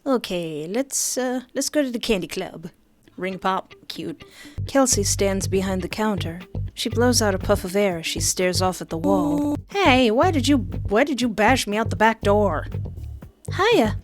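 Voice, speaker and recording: A woman hosting a game playthrough, reading narration aloud and reacting casually in a playful, expressive way. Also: mid-range voice, measured pace, faint music behind.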